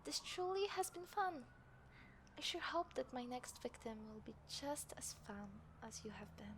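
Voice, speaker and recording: A woman playing a taunting, smug serial killer in an audio roleplay, speaking deliberately and theatrically with breathy drops toward a whisper. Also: sits high, expressive. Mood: gloating. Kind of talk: scripted audio roleplay addressed to the listener. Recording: clear background noise, city ambience effects.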